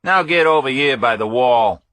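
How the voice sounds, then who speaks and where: A man's voice: medium pitch, animated, moderate pace, a bit bright, no echo, voice pushed up, lightly acted, poor audio. A man voicing a menacing, smug armed villain in a thriller audiobook, commanding and taunting.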